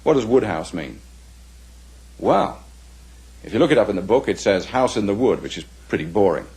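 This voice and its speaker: A man with an English accent giving unscripted scholarly commentary in an interview, speaking in a deliberate, halting, explanatory way. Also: on the low side, very animated, a little mellow, slightly rough.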